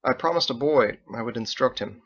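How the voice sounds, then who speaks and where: A man voicing a courteous, resolute paladin leader with formal, measured phrasing, in an illustrated story reading. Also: medium pitch, expressive, a bit bright, lo-fi sound. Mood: confiding.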